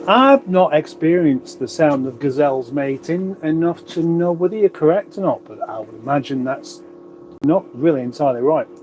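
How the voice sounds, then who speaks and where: A man's voice: a little high, expressive, moderate pace, slight background noise, low-quality recording. A man with a runny nose, hosting a casual livestream, rambling chattily with wry asides as he reads out comments. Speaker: livestream host. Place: garage.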